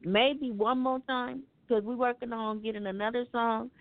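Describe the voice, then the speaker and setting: A woman's voice: fairly high pitch, steady tone, normal speed, dry recording. A woman hosting a casual radio talk show, loose and joking as she steers the segments. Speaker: radio host. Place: radio studio. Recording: tinny phone-like radio audio.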